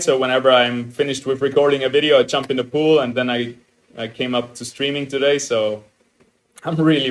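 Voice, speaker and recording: A young man with an Austrian German accent, chatting excitedly to his viewers as a game streamer. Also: medium pitch. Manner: excitable, upbeat, chatty with restarts. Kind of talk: casual livestream chat, greeting viewers.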